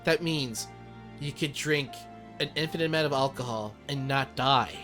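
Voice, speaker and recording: A man's voice: mid-range voice, moderate pace, bright, forceful tone, soft background music. A man hosting a casual ranking chat, thinking aloud and repeating words as he decides. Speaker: host. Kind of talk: casual discussion ranking anime characters.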